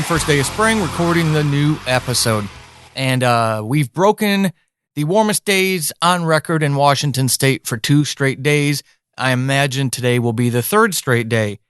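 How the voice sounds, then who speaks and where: American man, dry close-miked higher voice. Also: expressive, moderate pace, fairly bright tone, no room sound, faint music behind. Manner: candid, informal, addresses listeners directly. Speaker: podcast host and writer. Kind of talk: conversational podcast monologue about writing. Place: indoor office, dry close recording.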